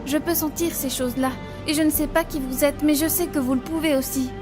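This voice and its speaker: A woman plays a desperate young wife in an audio fiction. Her voice is extremely high, insistent and imploring. Music plays clearly underneath her words. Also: steady tone, a little fast, natural voice. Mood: anxious, insistent. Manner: urgent, imploring. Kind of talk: audio drama acting.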